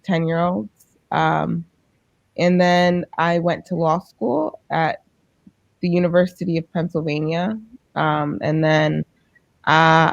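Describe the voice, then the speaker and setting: A woman from the United States, a guest lawyer, answering interview questions slowly and hesitantly over a video call. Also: lowish voice, vocal fry, dry recording, muffled recording. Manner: slow, hesitant, many ums.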